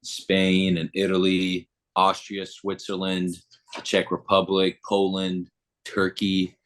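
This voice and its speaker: Low-voiced guy in his 20s, from germany, chill upbeat interview answers, lots of ums. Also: takes it slowly. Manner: relaxed and upbeat, many "um" fillers. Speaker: guest, football player.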